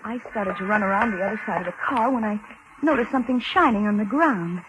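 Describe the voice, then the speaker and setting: Noisy old-radio recording, weary young American car hop, played by an actress. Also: female voice, medium pitch, fast talker, lo-fi sound, lightly acted. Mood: confiding, uneasy. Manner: wry, confiding, working-class plain talk. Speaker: narrator and lead character. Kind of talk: 1940s radio suspense acting, first-person narration.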